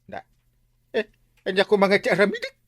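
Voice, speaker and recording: A man's voice: high voice, huge pitch swings, brisk, a bit bright, no room sound, clean studio sound, full character voice. A man acting a lazy, sleepy, grumbling village captain in a radio comedy drama, with an Ilocano accent.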